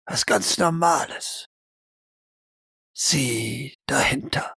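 A man's voice: medium pitch, drawn-out words, gravelly, bright, forceful tone, husky, dry recording, studio quality, exaggerated voice. A man playing an intense military shooting instructor in an audio drama, talking in terse, commanding phrases. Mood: hushed, hypnotic. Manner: terse clipped commands, drawn out for emphasis.

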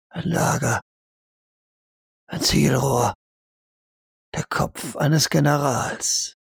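A man narrating a role-play audio drama as game master, hushed and suspenseful, in short deliberate fragments. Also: mid-range voice, vocal fry, husky, no echo.

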